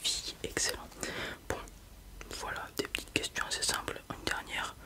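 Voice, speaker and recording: An ASMR creator whispering softly and without voice while running a roleplay exam, giving gentle praise after every answer. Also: moderate pace. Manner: soft, unvoiced whispering throughout. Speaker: ASMR creator playing an examiner. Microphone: close mic with left and right ear whispering.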